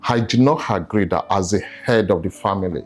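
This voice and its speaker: A man on a discussion panel, explaining his view in a measured way and often checking in with "right?". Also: fairly low pitch, slightly rough.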